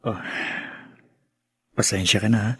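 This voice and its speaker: An actor playing a young, mild, placating husband and dutiful son in a Filipino radio drama, hesitant, with a Filipino accent. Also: male voice, fairly low pitch, flat delivery, measured pace, husky, no echo, studio quality. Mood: concerned, apologetic.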